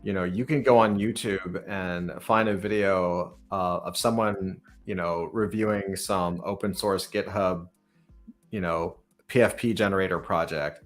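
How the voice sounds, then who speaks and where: A man with a low voice hosts a conversational interview. He rambles and thinks aloud, circling back as he builds up to his questions. Music plays faintly along with his talk. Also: fairly even intonation, unhurried. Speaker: podcast host and startup founder interviewing a guest.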